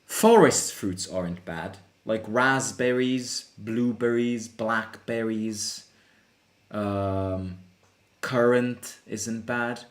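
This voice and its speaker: A young man from Italy hosting a live football watchalong. He chats casually, answers questions from viewers and breaks into excited reactions to the play. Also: lowish voice, drawn-out words. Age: young adult. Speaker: fan channel host.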